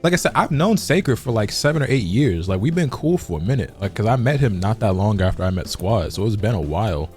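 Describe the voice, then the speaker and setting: Deep-voiced fast-talking male streamer. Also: lively intonation, darkish tone, soft background music. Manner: rambling, heavy use of "like" and repeated words; laid-back, swears freely. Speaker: gaming streamer. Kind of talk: casual stream chat.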